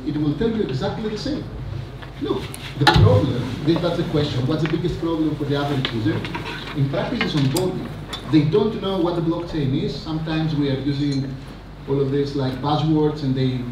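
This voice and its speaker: A man giving a rambling, energetic conference talk on stage, full of fillers and asides. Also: a little high, brisk. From Greece. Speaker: conference speaker. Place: large conference hall. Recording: echoey hall, mic from a distance, noisy.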